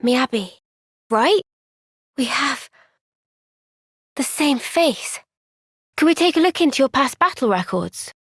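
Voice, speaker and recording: An actress playing an earnest, gentle but firm young woman fighter in a video game, speaking in a measured, sincere way. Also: high voice, sing-song, breathy edge, no echo, clean studio sound, heavily acted. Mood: pensive. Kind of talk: video game cutscene voice acting.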